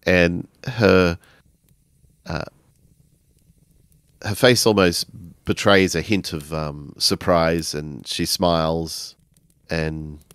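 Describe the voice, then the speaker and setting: A man improvising narration for a tabletop role-playing game in a halting, deliberate way. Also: low-pitched, steady tone, a bit bright, smooth, dry recording, clean studio sound.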